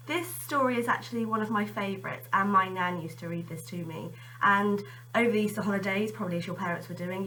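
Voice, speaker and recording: A woman's voice: mid-range voice, pushed and bright, far from the mic, a little hiss or hum, low-quality recording. A woman teacher chatting informally to children and reading them a story expressively.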